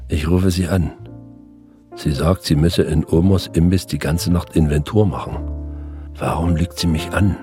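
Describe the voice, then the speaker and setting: A brooding, suspicious husband in a radio drama. His low voice gives clipped log entries as a voice-over, with faint music behind. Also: unhurried. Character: brooding, suspicious husband reading surveillance notes.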